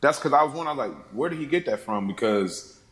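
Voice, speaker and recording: An echoey recording of a man on a film podcast. His voice sits at an ordinary male pitch. He talks casually and in a rambling way, repeating himself and slipping into quoted lines. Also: animated, quick pace, a bit bright. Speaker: podcast panelist.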